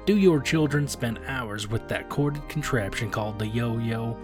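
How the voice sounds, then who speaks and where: A man acts as a moralizing radio preacher in a comedy radio play. He is solemn and pompous, speaking at a medium pitch over clear guitar music. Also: expressive, a little slow, lightly acted. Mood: pious warning. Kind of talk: 1930s radio sermon parody.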